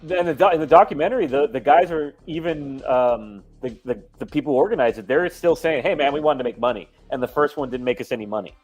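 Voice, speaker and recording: A man's voice: medium pitch, fast talker, hardly any pauses, faint music behind. A man co-hosting a live talk show, ranting in a heated, casual way.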